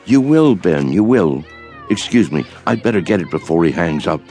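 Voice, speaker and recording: Hopeful old rest-home resident, courtly and mild, animated pitch, radio drama. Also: male voice, normal speed, soft background music. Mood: pleased, encouraging. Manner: courtly, mild, well-spoken. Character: gentle, hopeful elderly man in a rest home.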